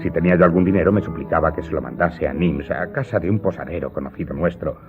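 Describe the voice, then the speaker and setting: An aggrieved, deferential servant in an audio drama. The voice is low and earnest, with dramatic delivery, under prominent music on a muffled recording. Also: male voice, fast. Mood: earnest, grave recounting. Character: aggrieved Corsican servant confessing his past to his master. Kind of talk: radio drama acting. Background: loud music.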